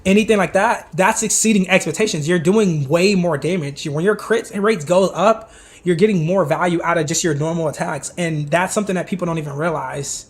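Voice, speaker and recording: A man casually commenting on game replays for his live stream viewers, hoarse from losing his voice and talking straight to chat with lots of asides. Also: high voice. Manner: chatty, talks to chat directly, many asides and restarts.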